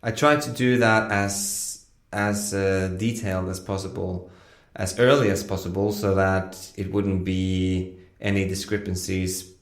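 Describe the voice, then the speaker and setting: A man explaining his sound design work in a casual, halting interview style with frequent hesitations. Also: low voice, flat delivery. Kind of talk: casual behind-the-scenes explanation.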